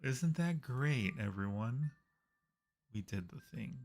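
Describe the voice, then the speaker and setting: A man's voice: medium pitch, animated, slow, a little mellow. A man hosting a casual game livestream, chatting playfully and directly with his viewers. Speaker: livestream host. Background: background music.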